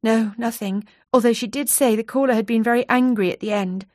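A woman's voice: fairly high pitch, steady tone, brisk, a little mellow, no room sound, clean studio sound, pitched above the performer's own voice, close to an everyday voice. A woman voicing a nervous, chatty female office worker who confides eagerly and a little breathlessly. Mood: confiding.

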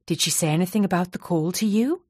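A woman's voice: on the low side, quick pace, darkish tone, breathy edge, no echo, studio quality. A woman voicing a determined young woman who questions people tactfully and earnestly. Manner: earnest, courteous, probing.

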